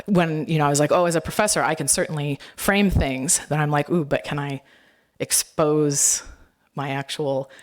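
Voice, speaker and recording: A woman moderating a panel discussion, thinking aloud with many fillers. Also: sits low.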